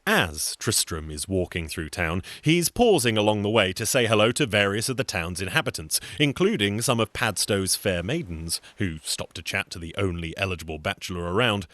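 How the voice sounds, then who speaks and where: A man's voice: fairly low pitch, animated, moderate pace, hardly any pauses, a bit bright, no room sound. A man telling a comic folk tale in a theatrical, conversational way, with wry, sardonic asides. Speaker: storyteller, solo show performer.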